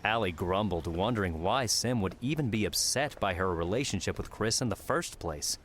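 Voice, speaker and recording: A man's voice: lowish voice, expressive, moderate pace, fairly bright tone, slight background noise. A man reading scripted fiction as an audiobook narrator.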